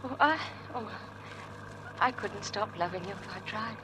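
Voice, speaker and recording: An actress playing an anxious, devoted young wife with an earnest, hesitant delivery, in 1940s radio drama style. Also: female voice, mid-range voice, expressive, normal speed, background noise, natural voice. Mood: tender, probing. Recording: old 1940s radio broadcast.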